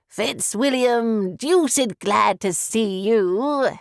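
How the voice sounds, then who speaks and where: A woman voicing a cheerful, affable young gentleman in a storybook reading, speaking slowly and heartily. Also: on the high side, creaky, dry recording, studio quality, exaggerated voice. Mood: happy.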